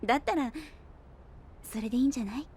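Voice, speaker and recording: High-voiced, thoughtful young woman. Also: fast talker, breathy edge. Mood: reflective. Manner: gentle, reflective, softly polite. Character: polite, thoughtful young woman scientist, team chief. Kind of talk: Japanese audio drama acting. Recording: soft music bed behind.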